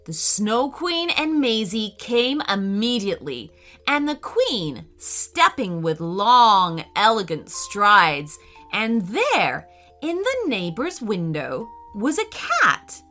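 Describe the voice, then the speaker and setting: A woman reads a children's bedtime story expressively, with animated pitch and dramatic care. She takes her time, and music plays softly behind her. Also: slow pace.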